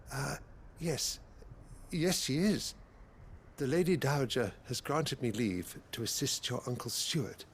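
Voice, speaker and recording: An actor playing a courteous, deferential male attendant to a noble house in a video game, formal and measured. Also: mid-range voice, slight background noise. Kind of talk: video game cutscene acting.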